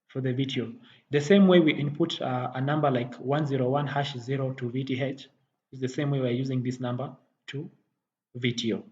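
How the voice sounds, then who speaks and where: A man giving a software tutorial as a technical instructor, halting and often rephrasing as he explains. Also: medium pitch. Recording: dull muffled audio, faint background noise.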